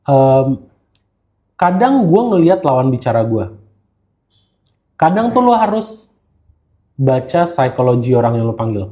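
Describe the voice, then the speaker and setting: A man's voice: mid-range voice, big pitch swings, a little slow, pauses often, warm tone. An Indonesian man in casual podcast conversation, talking slangily and slipping English phrases into his speech. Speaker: guest gemologist who hosts live streams.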